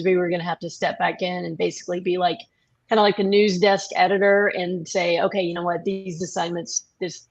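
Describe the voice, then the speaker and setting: A woman's voice: fairly low pitch, dull audio. A woman speaking as a producer on a panel, explaining her work in a conversational way. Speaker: podcast producer.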